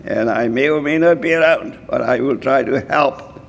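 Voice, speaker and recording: A man in his nineties from Hungary giving an award acceptance speech, halting and slow, often clearing his throat. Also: mid-range voice, a little hiss or hum. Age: 90s. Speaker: award recipient. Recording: reverberant hall.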